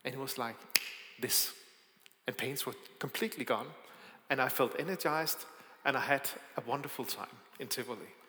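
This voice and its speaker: A man's voice: mid-range voice, moderate pace, sharp tone. A man from Denmark with a Danish accent, preaching a sermon with hesitant fillers and light asides. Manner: hesitant, with frequent fillers and self-deprecating asides. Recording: echoey hall with long reverb.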